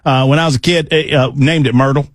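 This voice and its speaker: A man co-hosting a radio talk show, joking and bantering with quick back-and-forth. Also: mid-range voice, fairly even intonation, no echo, clean studio sound. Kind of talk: casual chat.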